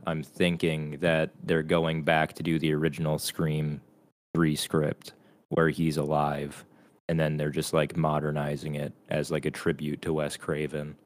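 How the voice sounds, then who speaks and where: A young man co-hosting a podcast in casual chat, blunt and rowdy, swearing constantly. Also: extremely low pitch. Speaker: podcast co-host.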